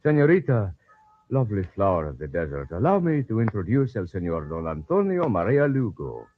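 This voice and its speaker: A bold young gallant in an old radio drama, courting with theatrical flourish. His slightly husky voice swings widely in pitch. The recording is dull and lo-fi. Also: wildly swooping pitch, a little fast. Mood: gallant, ardent.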